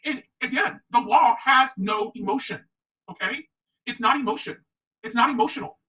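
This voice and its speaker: A woman's voice: fairly high pitch, moderate pace, a bit bright, far from the mic, poor audio. A woman from the United States hosting opinionated livestream commentary, speaking emphatically and repeating her points for stress. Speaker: online commentary show host.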